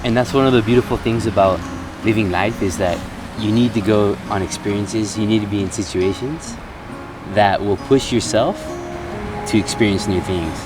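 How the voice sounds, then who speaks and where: A young man talking casually to camera as a vlogger, relaxed and reflective with plenty of ums. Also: fairly low pitch, moderate pace. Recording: music bed loud underneath.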